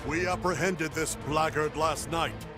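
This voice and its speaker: An actor playing a stern, self-righteous officer in a video game, speaking in a formal, declamatory way. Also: male voice, on the high side, steady tone, a little slow, music in the background, lightly acted. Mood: stern, condemning. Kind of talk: video game cutscene acting.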